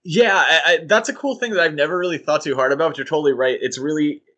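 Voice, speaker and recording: A man co-hosting a podcast, talking in a casual, animated, rambling way with fillers and restarts. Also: fairly high pitch, fast talker. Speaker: podcast co-host.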